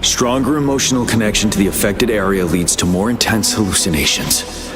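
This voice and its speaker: An actor playing a stern, composed army general in a video game, with a measured, commanding manner. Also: male voice, on the low side, lively intonation, music in the background, lightly acted. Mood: pensive.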